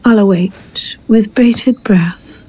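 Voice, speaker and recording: A woman acting as a cool military scientist with a mid-pitched voice. She speaks as a cautious adviser in a radio play. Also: slow, dark tone, breathy edge. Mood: dry, cautious. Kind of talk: audio drama acting.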